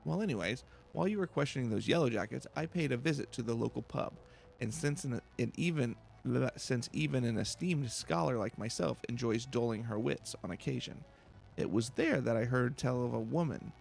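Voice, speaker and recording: A man's voice: mid-range voice, animated, a little fast, vocal fry, darkish tone, a little hiss or hum. A man voicing a proud, nervous female scholar from a fantasy game, formal and prone to stammering. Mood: chatty, haughty.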